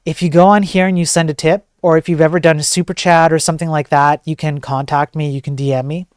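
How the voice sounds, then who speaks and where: Soft-voiced man with a higher pitch, livestreaming. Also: level tone, fast talker, clean tone, no room sound, clean studio sound. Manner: informal, joking, rambling banter. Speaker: livestream host, programmer. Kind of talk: casual livestream chat with viewers.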